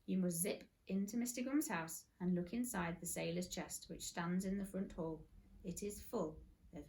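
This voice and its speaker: A serene little boy with an air of mystery, read by a woman. The delivery is gentle and solemn, as in a children's storybook. The words come with honest conviction. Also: on the low side, normal speed, vocal fry, a little hiss or hum.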